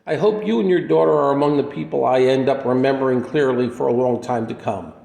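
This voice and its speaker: Man playing a creaky-voiced, kindly lonely wanderer in echo. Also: mid-range voice, brisk, mellow, close to an everyday voice. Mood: wistful, grateful. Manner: earnest, folksy, reflective. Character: kindly, lonely male wandering healer with little memory. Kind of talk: radio play dialogue. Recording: echoey room with long reverb.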